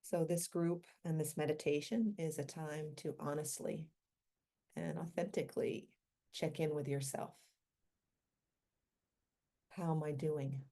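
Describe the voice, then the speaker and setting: A woman leading a guided meditation in a gentle, unhurried manner, with long pauses between short phrases. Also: low-pitched, fairly even intonation.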